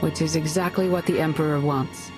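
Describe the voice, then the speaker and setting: An actress playing an authoritative Jedi leader in a sci-fi game, composed and commanding. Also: female voice, deep voice, fairly even intonation, brisk, natural voice. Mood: guarded, calm. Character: composed, authoritative female Jedi grand master. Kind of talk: dramatic sci-fi game dialogue. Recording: music behind the voice.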